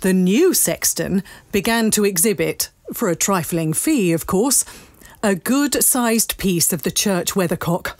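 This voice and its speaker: A woman narrating a dramatic scripted story in measured, deliberate phrases. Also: mid-range voice, expressive, a little mellow, no room sound. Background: music.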